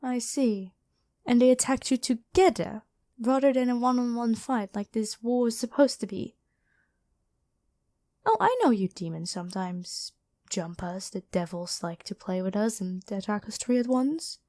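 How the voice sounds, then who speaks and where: A woman playing a gentle, dutiful angel medic in an ASMR roleplay, speaking soothingly and caringly to one listener. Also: a little high, lively intonation, measured pace. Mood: earnest, disapproving.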